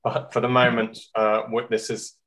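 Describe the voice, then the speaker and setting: A man's voice: mid-range voice, monotone, normal speed, fairly bright tone. A man opening and introducing a meeting over a video call, chatty with uhs and restarts. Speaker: meeting host.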